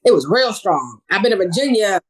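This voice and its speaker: A woman from New York City, a guest on a casual podcast, chatting in a relaxed way and laughing easily. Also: mid-range voice, a little fast, husky, low-quality recording. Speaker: podcast guest, social media creator.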